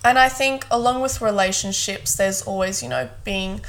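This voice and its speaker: A woman in her mid-twenties from South Africa, speaking as a guest co-host in a relaxed, thoughtful podcast chat. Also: medium pitch, moderate pace. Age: 20s. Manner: relaxed, thoughtful, fond of "hundred percent".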